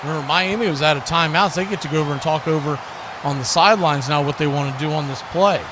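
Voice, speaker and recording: Male football play-by-play commentator, high-pitched, calling the game live over very loud crowd noise. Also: quick pace. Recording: loud crowd roar and music behind.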